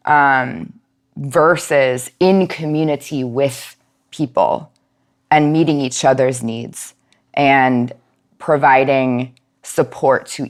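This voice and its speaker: Low-voiced woman, reflective podcast guest. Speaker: podcast guest, nonprofit outreach and education manager. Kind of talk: thoughtful conversational interview answer.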